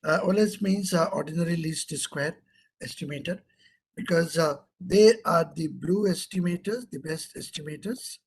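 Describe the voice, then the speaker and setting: A man's voice: fairly high pitch, moderate pace, slight background noise. A middle-aged man with an Indian English accent, giving an interactive online lecture, asking questions and repeating key terms for emphasis.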